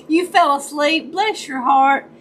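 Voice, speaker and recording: A woman with a raspy voice, chatting casually with her viewers during a crafting livestream and greeting them by name. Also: sits high, a bit bright, a little hiss or hum. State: raspy voice, dry throat. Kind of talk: casual chat while crafting.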